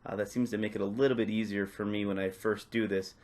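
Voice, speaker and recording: A man narrating a step-by-step tutorial off the cuff, in a casual, instructional way with softened phrasing. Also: fairly low pitch, little pitch movement, fast talker. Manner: casual, instructional, hedging with "kinda" and "a little bit". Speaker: repair tutorial presenter.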